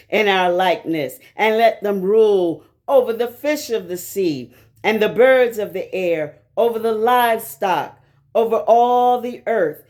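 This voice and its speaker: Slow, deliberate woman reading scripture. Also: mid-range voice, a little mellow. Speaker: scripture reader. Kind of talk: measured scripted Bible reading.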